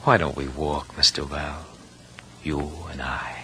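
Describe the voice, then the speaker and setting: An actor playing a smooth, menacing, educated young gunman in a radio drama, courtly and florid in his speech. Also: extremely low pitch, flat delivery, measured pace, loud music behind the voice. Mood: silky menace. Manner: courtly, poetic, mockingly polite. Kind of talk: 1950s radio drama acting. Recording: noisy vintage radio recording.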